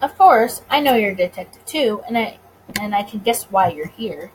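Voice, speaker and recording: A woman's voice: medium pitch, lively intonation, normal speed, slight background noise, natural voice, low-quality recording. A woman voicing a suave, smug male bootlegger in a visual novel, courteous, wordy and self-assured. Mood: smugly polite. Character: suave, smug, teasing male gangster bootlegger of 1920s America.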